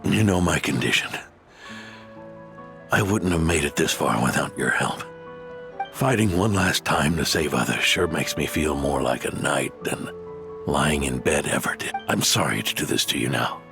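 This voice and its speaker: A man playing a gentle, dying older knight captain in a video game, weary, halting and gravelly. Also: rumbling low, lively intonation, music in the background, full character voice. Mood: weary, tender.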